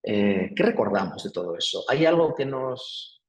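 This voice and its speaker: A man's voice: on the low side, big pitch swings, moderate pace, poor audio. A man with a Peninsular Spanish accent giving an academic lecture, explaining patiently with frequent "eh" fillers and "¿sí?" check-ins. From Spain. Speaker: guest lecturer.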